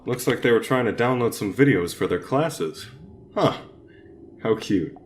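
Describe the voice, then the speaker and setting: An actor playing a cold, taunting villain who controls minds, in an amateur superhero audio drama. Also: male voice, medium pitch, expressive, measured pace, a little hiss or hum, lightly acted. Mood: smug, taunting. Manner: taunting, mock-admiring. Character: gloating cold male mind-controlling villain.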